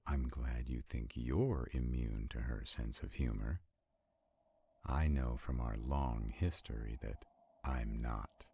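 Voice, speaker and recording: Man acting very deep gravelly arrogant vampire. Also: takes it slowly, mellow, exaggerated voice. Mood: uneasy, wary. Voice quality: gravelly, husky. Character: arrogant centuries-old male vampire, protective, skeptical. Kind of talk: roleplay audio drama.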